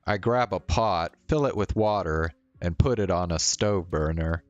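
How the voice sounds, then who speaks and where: A man reading a visual novel aloud as a streamer, in measured narration with the odd stumble. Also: low-pitched, dry recording, dull audio. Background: faint music.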